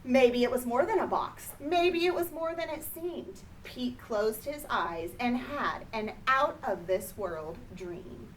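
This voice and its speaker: Unhurried woman reading a children's storybook. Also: a little high, lively intonation. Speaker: storybook reader. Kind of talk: children's picture book read-aloud. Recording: distant mic, faint background noise.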